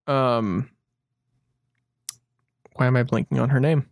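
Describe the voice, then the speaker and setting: A man's voice: medium pitch, fairly even intonation, slow pace, vocal fry, no echo, clean studio sound. A man co-hosting a podcast in casual chat, informal and thinking aloud as he talks. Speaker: podcast co-host.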